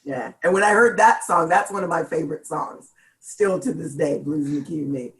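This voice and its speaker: Middle-aged woman, deep gravelly voice, relaxed and jokey interview guest. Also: fairly quick. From Seattle, United States. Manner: chatty, laughs often, jokes about herself. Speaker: guest singer. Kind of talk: casual interview chat.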